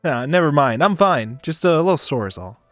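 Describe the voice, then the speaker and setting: A man's voice: on the high side, very animated, dry recording. Man playing an awkward, shaken male space passenger. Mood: flustered. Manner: halting, self-conscious, dryly wry. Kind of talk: visual novel read aloud. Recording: dry close mic, background music.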